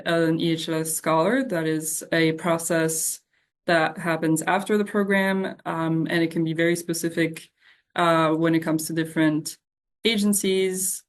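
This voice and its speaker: A woman on a program's staff answering audience questions in an informal, halting, reassuring way. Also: fairly low pitch.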